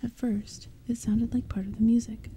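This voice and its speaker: A woman narrating an audiobook in a soft, hushed whisper. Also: on the high side, little pitch movement, moderate pace, a little hiss or hum.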